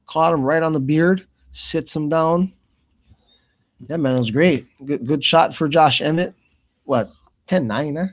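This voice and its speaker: A man's voice: on the high side, measured pace, long pauses. A man co-hosting fight commentary, reacting casually in slangy talk.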